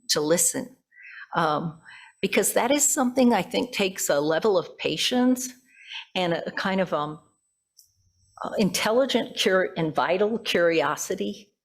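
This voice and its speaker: A woman pastor preaching from a pulpit in a conversational way, with chatty asides and self-corrections to the congregation. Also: lowish voice. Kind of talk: sermon, conversational with scripted passages. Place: church pulpit.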